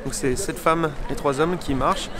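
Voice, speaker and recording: A man's voice: on the high side, normal speed, a crowd in the background. A man reporting on the spot as he follows a walking group, describing events plainly. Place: outdoors walking. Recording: outdoor noise in background.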